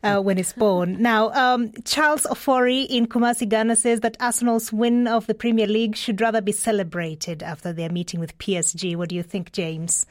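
A woman's voice: mid-range voice, fairly even intonation, normal speed, few pauses, dry recording, clean studio sound. A woman co-presenting radio news, reading out listener comments in a chatty, light way.

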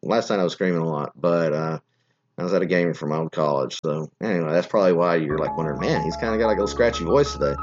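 A man hosting a political podcast, his voice hoarse and scratchy, talking in an opinionated, rambling way with frequent restarts. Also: sits low, quick pace, highs cut off, faint music behind. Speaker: podcast host. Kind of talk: political commentary monologue.

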